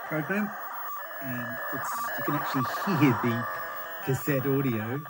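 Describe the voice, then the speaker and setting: A middle-aged Australian man giving a casual, unscripted hands-on demo in halting phrases, recorded on a handheld phone indoors. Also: mid-range voice, heavy background noise. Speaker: retro computer hobbyist presenter. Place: small cluttered room indoors.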